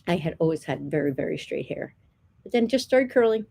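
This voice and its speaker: A middle-aged woman from New Jersey, speaking to the camera in an earnest, rambling way. She gives a casual testimony, repeating words and filling pauses with "um." Her voice sits on the low side. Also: lively intonation, gravelly, mellow, slightly rough. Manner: earnest, chatty, repeats herself with many "um"s. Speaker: vlogger.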